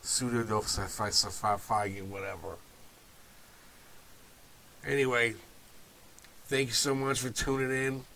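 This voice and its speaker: A man's voice: medium pitch, steady tone, takes it slowly, vocal fry, pauses often, sharp tone, a little hiss or hum. A man hosting a video talk series, sounding nasal from a cold, loose and offhand with asides. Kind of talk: informal talk to camera.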